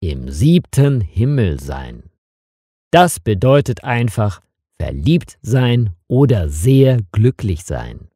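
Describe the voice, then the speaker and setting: Slow, deep-voiced male storyteller. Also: big pitch swings, warm tone, no room sound, studio quality. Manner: slow, clearly articulated, dramatic pauses. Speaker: narrator of a German learning channel. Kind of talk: slow scripted story narration for learners.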